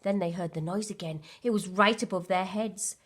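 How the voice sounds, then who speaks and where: A woman's voice: mid-range voice, moderate pace. A woman reading a children's storybook aloud expressively, like a primary school teacher.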